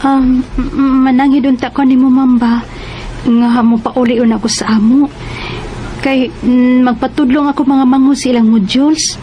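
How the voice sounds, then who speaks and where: A woman's voice: high-pitched, flat delivery, normal speed, long pauses, lightly acted. A woman playing a respectful, troubled young female working student in a radio drama, with a Cebuano accent. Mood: nervous, pleading. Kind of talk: Philippine radio drama acting and narration. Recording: radio drama with loud music bed.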